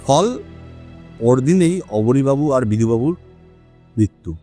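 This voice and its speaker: A man playing a confident, sharp-minded male detective in an audio story, with deliberate, emphatic phrasing. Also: medium pitch, lively intonation, faint music behind. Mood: grave, explanatory. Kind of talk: Bengali audio story acting.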